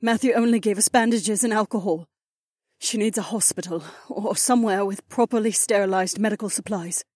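A woman playing a practical female corporal in an audiobook drama, serious, measured and clipped. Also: medium pitch, a little mellow, no room sound, studio quality, lightly acted. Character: level-headed, practical female soldier, a corporal. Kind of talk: dramatised audiobook character acting.

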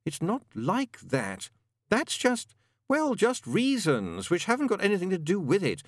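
Man voicing a weary, fatalistic demon, swooping pitch. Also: moderate pace, dry recording, studio quality. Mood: gloomy, exasperated. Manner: flat, gloomy, exasperated explaining. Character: weary, fatalistic male demon, sardonic adult. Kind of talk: audiobook character dialogue.

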